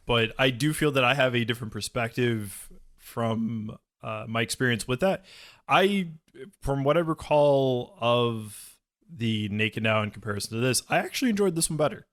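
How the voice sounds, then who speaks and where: A man chatting casually as a podcast co-host, with hesitant, halting phrasing. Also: mid-range voice, animated, fairly bright tone. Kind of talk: casual chat.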